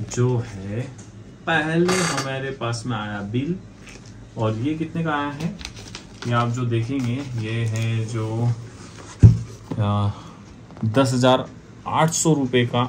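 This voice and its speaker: Indian man, distant and noisy recording. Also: mid-range voice. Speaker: video host reviewing products. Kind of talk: casual unboxing and review talk. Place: small indoor office. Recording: distant mic, clearly noisy room ambience.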